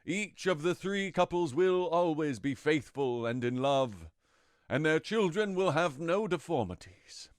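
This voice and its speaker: A man's voice: on the high side, smooth, dry recording, studio quality. A man playing a regal, benevolent fairy king in an audio drama, stately and commanding. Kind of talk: audio drama Shakespeare in modern English.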